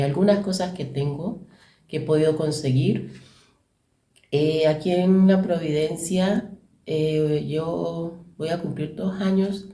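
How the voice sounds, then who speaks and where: A woman's voice: low-pitched, warm tone. A woman on staff giving an earnest personal testimony with fillers. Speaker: staff member. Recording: echoey room.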